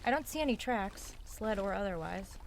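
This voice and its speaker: A woman's voice: medium pitch, animated, moderate pace, a little hiss or hum, natural voice. An actress playing a practical, sardonic young woman on the run in an audio drama, with naturalistic delivery and a dry manner. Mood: practical, focused. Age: young adult. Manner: dry, sarcastic.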